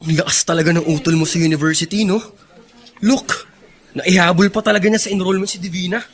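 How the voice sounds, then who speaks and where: A man's voice: high voice, steady tone, fairly quick, natural voice. A man acting a sceptical young friend in a radio drama, with a casual, needling way of talking. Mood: teasing.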